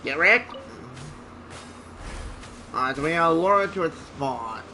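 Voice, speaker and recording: A man's voice: fairly high pitch, expressive, a little slow, bright, forceful tone, a little hiss or hum. A man hosting a gaming video, giving casual commentary and short interjected reactions as he plays. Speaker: gaming channel host.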